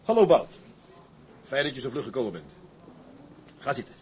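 An actor playing a daring, stubborn tugboat captain in a radio drama, firm and matter-of-fact. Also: male voice, medium pitch, big pitch swings, normal speed, a little mellow, close to an everyday voice. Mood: brisk, welcoming. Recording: clear ambient noise behind.

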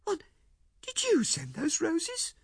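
A man's voice: huge pitch swings, takes it slowly, vocal fry, no room sound, clean studio sound, heavily acted. A man putting on a tearful young wife. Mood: startled. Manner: high, strained and pleading. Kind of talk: audiobook character voicing.

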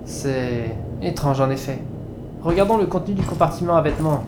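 A man's voice: a little high, animated, fairly quick, noisy background, close to an everyday voice. A man acting as a cautious spaceship investigator in a French science-fiction audio drama. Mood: focused, puzzled. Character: cautious male spaceship investigator, afraid of robots. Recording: echoey reverberant room, music behind. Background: music.

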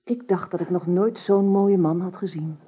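An actress in a radio drama playing an affectionate, wistful queen, soft and breathy, tender and admiring. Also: on the low side, moderate pace, highs cut off. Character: affectionate, wistful young queen in love with a knight.

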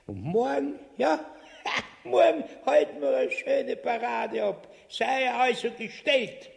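A man's voice: extremely high pitch, lively intonation, slow, creaky, full character voice. A man playing a foolish, genial old king, stammering pompously and repeating himself in a radio farce, in a reverberant hall. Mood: genial, doddering. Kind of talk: farcical radio play acting.

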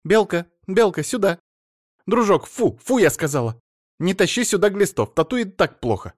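A man voicing an excitable, flighty wife who chatters fast and breathlessly, recorded dry and close. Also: high-pitched, dry recording, voice pushed up. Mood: chattering.

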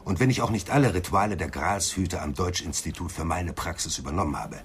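An actor playing a vain, defensive older psychoanalyst under interrogation in a radio crime drama, testy and sardonic. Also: male voice, low voice, animated, fast talker, a little hiss or hum. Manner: testy, self-important, sardonic.

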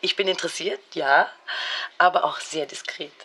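A woman's voice: lowish voice, normal speed, sharp tone, husky. A woman playing a chatty but discreet hotel chambermaid in an audio play. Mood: brisk, obliging.

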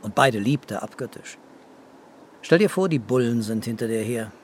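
An actor playing a terse, matter-of-fact police commissioner who asks probing questions in a radio drama. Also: male voice, mid-range voice, lively intonation, normal speed, slight background noise, natural voice. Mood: dry, pondering. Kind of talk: naturalistic radio drama acting.

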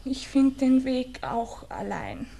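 High-voiced, heartbroken young woman. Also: very animated, vocal fry, warm tone, slight background noise, full character voice. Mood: bitter, desperate. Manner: halting, intense, reproachful questioning. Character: heartbroken young woman, bitter and desperate in grief. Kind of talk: radio drama acting.

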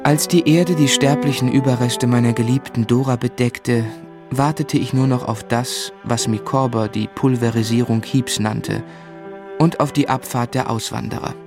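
Actor as a gravelly, grieving young husband. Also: male voice, mid-range voice, fairly even intonation, normal speed, over music, close to an everyday voice. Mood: subdued narration. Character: grieving young husband narrating his life story. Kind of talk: literary radio play narration and acting.

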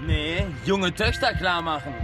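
A macho young gym lad, played by a man, bragging in easy slang with a high voice. He is off-mic inside a car, with loud music around him. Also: measured pace, recorded from a distance, natural voice. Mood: cocky. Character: laid-back, boastful young gym-loving lunk, macho. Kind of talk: naturalistic radio drama dialogue.

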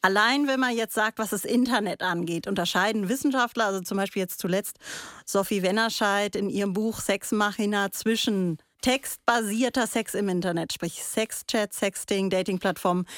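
A woman's voice: mid-range voice, moderate pace, no echo, clean studio sound. A woman co-hosting a conversational radio discussion in a reflective, explanatory way. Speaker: radio show co-host.

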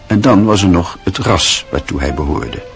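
A man narrating a radio play in a measured, even storytelling manner. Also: deep voice, soft background music.

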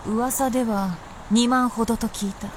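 A woman's voice: a little high, steady tone, measured pace, slight background noise, natural voice. A woman playing an aloof, proud immortal princess in a Japanese period radio drama, with archaic, composed, lordly speech. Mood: composed, instructing.

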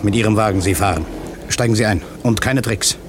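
Gangland killer, played by a male actor for crime radio drama: menacing, businesslike, rapid, slightly noisy recording. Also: lowish voice, close to an everyday voice. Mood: cold menace. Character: cold-blooded, mocking gangland hitman. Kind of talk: German crime radio play acting.

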